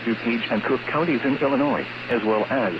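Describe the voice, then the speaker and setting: A man reads a scripted emergency weather bulletin in a formal, measured, synthetic delivery. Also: medium pitch. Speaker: weather radio announcer. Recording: dull, noisy weather radio broadcast.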